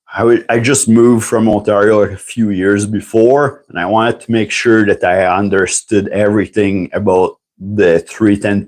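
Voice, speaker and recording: A man, chatting casually on a livestream and answering viewer questions. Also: lowish voice. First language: French. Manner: rambling and chatty, answers viewer questions, clears throat often. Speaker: livestream host.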